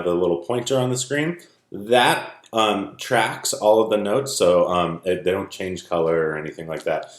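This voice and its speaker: A man speaking as a music teacher, informally explaining things to young students in a video lesson and read-aloud. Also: fairly low pitch. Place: indoor room at home. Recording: roomy indoor echo.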